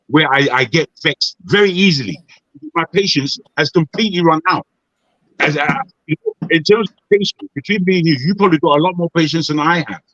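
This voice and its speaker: A Nigerian man on an online panel, speaking in a measured, advising way with frequent restarts. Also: a little high, fairly bright tone, no room sound, lo-fi sound.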